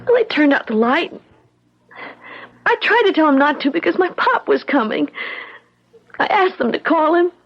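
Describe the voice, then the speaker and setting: An actress in a 1970s radio drama playing a frightened, distraught young girl in a halting, childlike way. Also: sits high, big pitch swings. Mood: upset, defensive. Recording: dull, lo-fi 1970s radio recording.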